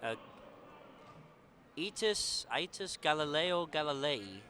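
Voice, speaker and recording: A man giving conversational color commentary on a live basketball game, with a halting delivery. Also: fairly high pitch, sing-song. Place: indoor basketball gym during game. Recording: noisy gym with bouncing balls.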